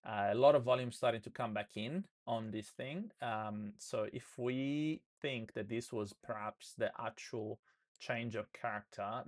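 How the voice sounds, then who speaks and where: A man with an Australian accent, hoarse and losing his voice, walking viewers through trades in a loose live session full of fillers and restarts. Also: mid-range voice. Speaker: trading educator. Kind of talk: live trading session walkthrough.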